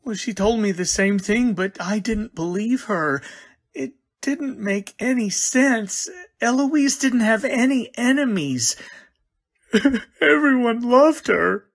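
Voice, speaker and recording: Male actor as grieving husband, radio drama, distraught and earnest, unhurried. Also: very high-pitched, lively intonation, creaky, heavily acted. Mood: distraught, bewildered. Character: distraught, bewildered widowed husband. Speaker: supporting actor. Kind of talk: old-time radio drama acting.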